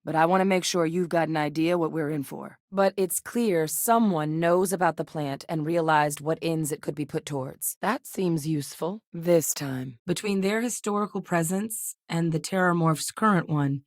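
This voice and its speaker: A composed, principled scientist officer, voiced by a woman in a video game, her voice sitting low and even. She talks in a measured, earnest, direct way, close on the mic in a dry studio. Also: no room sound, close to an everyday voice. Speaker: game companion character. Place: recording studio.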